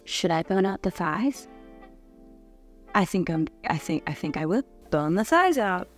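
Young woman, low-pitched voice, casual kitchen vlog chatter, wry and self-deprecating, music in the background. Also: animated, measured pace. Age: young adult. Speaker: cooking vlogger. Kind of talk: casual chat while cooking. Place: home kitchen, indoors.

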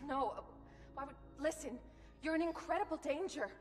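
Woman playing a high, frightened AI. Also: normal speed, close to an everyday voice. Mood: frightened urgency. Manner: urgent, anxious. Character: frightened young female artificial intelligence. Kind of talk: cinematic game cutscene acting. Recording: long reverb under game music.

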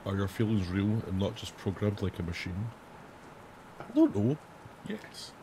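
A man in his thirties, hoarse and raspy after days of singing, hosting a casual gaming video where he reads questions aloud and answers them with jokes. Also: fairly low pitch, sing-song, measured pace, dark tone, a little hiss or hum. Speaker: gaming channel host. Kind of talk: casual let's-play commentary. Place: home room, indoors. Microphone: headset.

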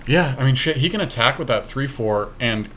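A man's voice: mid-range voice, lively intonation, moderate pace, pushed and bright. Casual man with noisy background. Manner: joking, profane trash talk. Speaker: podcast co-host playing online card game. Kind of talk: casual gameplay commentary. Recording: clear background noise.

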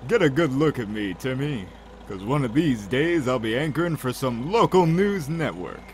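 A man's voice: mid-range voice, sing-song, moderate pace, a little hiss or hum, natural voice. An actor playing Clayton's part in an audio drama, a talkative, good-humored male drug dealer with a teasing, folksy manner. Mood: cheerful boasting.

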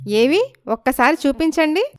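A woman voicing a wronged young village wife in a story, polite and pleading but quietly determined. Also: high-pitched, very animated, normal speed, dry recording, clean studio sound, voice pushed up. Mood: curious, eager. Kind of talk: dramatised story acting.

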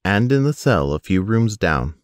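A man narrating an audio drama, reading scripted scene descriptions in a measured way. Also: on the low side, sing-song, no room sound, studio quality.

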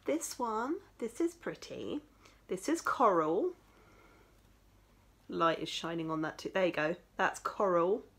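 A woman's voice: lowish voice, expressive, slow pace, long pauses, fairly bright tone, breathy edge. Tired woman, rambling chatty vlog, craft room, desk camera mic. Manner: chatty, rambling, with many fillers. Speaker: crochet vlogger. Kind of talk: casual chat, showing yarn. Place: small home craft room full of yarn, indoors.

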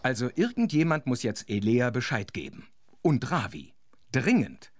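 A man playing an involved, expressive storyteller who narrates a children's audio drama. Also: medium pitch, normal speed, a little hiss or hum, lightly acted. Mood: urgent, rueful.

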